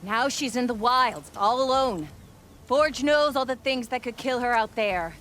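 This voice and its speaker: A woman's voice: on the high side, unhurried, fairly bright tone, slight background noise. A blunt, worried caravan woman. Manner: blunt, scolding.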